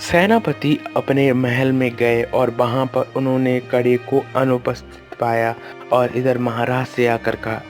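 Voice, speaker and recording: A male storyteller narrates a cartoon folk tale in a scripted style. His pitch sits in the middle for a man, and faint music plays behind him. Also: fairly even intonation, measured pace.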